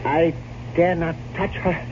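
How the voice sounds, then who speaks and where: A man acting a frightened manservant in an old-time radio drama, speaking haltingly with dread and dramatic pauses. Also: fairly high pitch, flat delivery. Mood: fearful, anxious. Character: frightened, superstitious manservant in a gothic tale. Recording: muffled, very noisy old radio recording.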